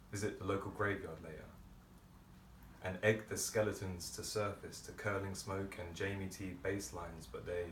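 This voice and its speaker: Distant mic, faint noise, man, dramatic spoken-word poet, deliberate pauses, theatrical delivery. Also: low-pitched. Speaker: poet performer. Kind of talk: dramatic spoken-word poetry monologue performance. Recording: roomy, distant microphone, background noise.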